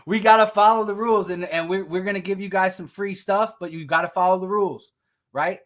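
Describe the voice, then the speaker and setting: A man's voice: high voice, steady tone, brisk, a bit bright. A man hosting a recovery ministry live stream, talking with energy and a preacher-like cadence, repeating phrases for emphasis. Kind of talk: upbeat host introduction.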